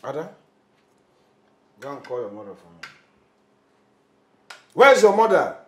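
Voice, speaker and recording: A man's voice: on the high side, big pitch swings, a little slow. A man playing a husband who grumbles about his uncovered food, stammering and repeating himself when upset. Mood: angry. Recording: roomy echo, faint music.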